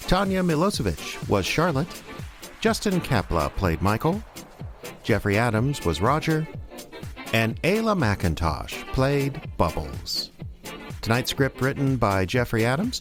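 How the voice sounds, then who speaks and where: A man reading scripted closing credits as the announcer of an audio drama. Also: medium pitch.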